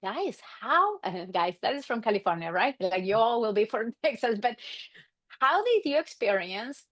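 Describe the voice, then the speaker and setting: Animated middle-aged woman with Argentine accent. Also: a little high, moderate pace, no echo. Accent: Argentine Spanish. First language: Spanish. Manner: animated, acts out quoted exchanges. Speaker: guest, marriage and family therapist. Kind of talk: casual interview storytelling.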